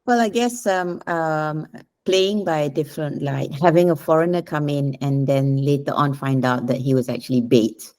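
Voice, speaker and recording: A woman's voice: deep voice, normal speed, a little mellow. A woman answering as a writer in a casual interview, conversational and somewhat rambling.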